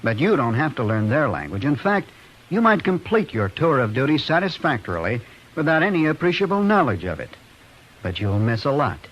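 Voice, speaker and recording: A man's voice: mid-range voice, sing-song, brisk, fairly bright tone. A man announcing a scripted public-service message in old-time radio style. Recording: noisy vintage radio recording.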